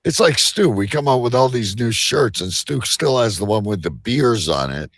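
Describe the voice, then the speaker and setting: Deep-voiced, gravelly male show host. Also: sing-song, moderate pace, no room sound, studio quality. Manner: teasing, blunt, swearing banter. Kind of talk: casual panel chat.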